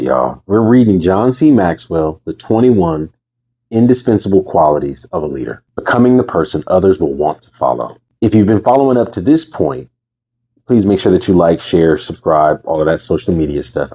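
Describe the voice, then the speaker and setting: Deep husky man reading aloud, lively intonation. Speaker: host reading a book aloud. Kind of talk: casual audiobook-style reading with informal asides. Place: bedroom. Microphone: new microphone.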